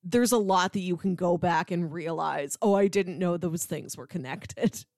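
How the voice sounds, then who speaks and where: A woman's voice: fairly low pitch, normal speed, a little mellow, dry recording, clean studio sound. A woman co-hosting a podcast, reviewing television in a casual, explanatory conversational way. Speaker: podcast co-host.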